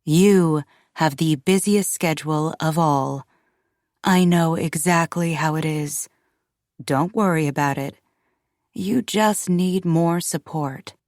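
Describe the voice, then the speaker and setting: A woman voicing a calm, supportive man with an even, soothing, gentle manner in an audiobook. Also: lowish voice, slow, no echo, studio quality. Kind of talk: dramatized audiobook dialogue.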